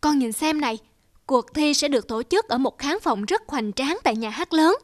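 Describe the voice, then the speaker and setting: A woman voicing a gentle, encouraging mother in an animated tale, speaking with patient, soothing manner. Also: high-pitched, moderate pace, dry recording, studio quality, natural voice. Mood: proud, happy. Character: gentle, encouraging mother of a young pianist daughter. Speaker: mother character. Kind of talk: animated fairy-tale dubbing.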